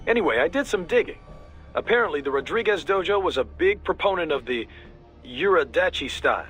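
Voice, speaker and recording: An actor playing an easygoing, knowledgeable mission support adviser in a video game, giving a casual, explanatory briefing in a husky voice. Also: male voice, a little high, lively intonation, brisk, fairly bright tone, faint music behind. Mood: informative, relaxed. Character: easygoing male military intelligence support adviser, knowledgeable, informal.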